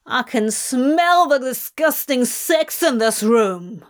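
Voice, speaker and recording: A woman's voice: on the high side, lively intonation, slow pace. A woman voicing a furious, hateful male archangel in a story reading, growling and mocking as he threatens. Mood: menacing. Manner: growling, snarling, roaring. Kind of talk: dramatic audiobook-style fiction reading.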